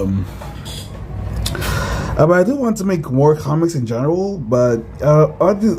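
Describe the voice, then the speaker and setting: A man's voice: mid-range voice, dark tone, slight background noise. A man casually commenting on his own comics in a rambling, hesitant way. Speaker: comic creator and reader.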